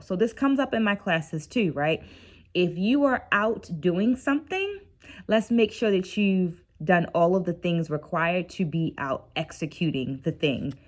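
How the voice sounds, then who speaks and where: A middle-aged woman with a slightly low voice talks casually to her viewers. She sounds tired and reflective, explaining things like a teacher and checking in as she goes. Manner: conversational teacherly, often checks in with "right?". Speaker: dance educator and video host. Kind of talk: casual reflective book-discussion vlog.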